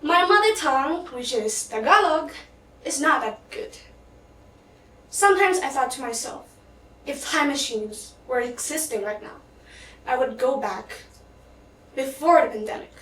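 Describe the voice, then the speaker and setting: A woman's voice: high voice, animated, normal speed, long pauses, fairly bright tone, a little hiss or hum. A teenage girl from the Philippines gives an earnest prepared speech for a contest, stumbling now and then and restarting words. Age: teens. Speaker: student contestant. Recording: echoey hall, mic at a distance.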